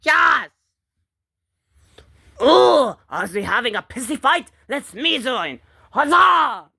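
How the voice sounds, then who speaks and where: A performer voicing a bossy, foul-mouthed bully character in an improvised comedic skit, brash, scolding and given to yelling. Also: high-pitched, very animated, unhurried, vocal fry, slightly rough, no room sound, clean studio sound, heavily acted. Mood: loud, combative.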